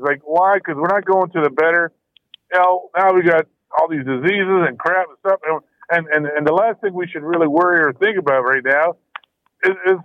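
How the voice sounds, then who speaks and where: A man calling a radio show, giving casual opinions in a halting, repetitive way over a narrow phone line. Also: high voice, no room sound. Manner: halting, repeats words.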